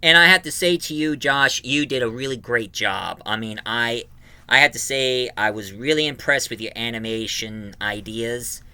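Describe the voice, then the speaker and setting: A man with a very bright, forceful voice and a clean, resonant tone. He hosts a casual review for his channel, rambling along with a fan's enthusiasm. The sound is dry, with little room on it. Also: medium pitch, moderate pace, smooth, dry recording. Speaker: YouTube reviewer and host.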